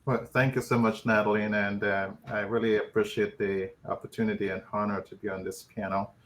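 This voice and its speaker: A man with a Nigerian accent, answering as a panelist in a measured, reflective way. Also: fairly low pitch, flat delivery, fairly bright tone.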